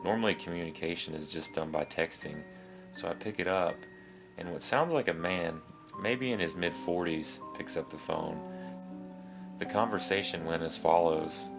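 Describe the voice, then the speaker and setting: Very deep-voiced man narrating creepy stories. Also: moderate pace, gravelly. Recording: music behind the voice.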